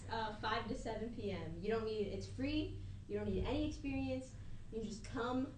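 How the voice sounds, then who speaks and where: Sick young woman with a raspy throat. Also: a little high, normal speed, a little mellow, slight background noise. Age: young adult. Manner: bubbly, chatty, addresses listeners as guys. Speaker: student radio co-host. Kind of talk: casual chat. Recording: roomy echo, off-mic.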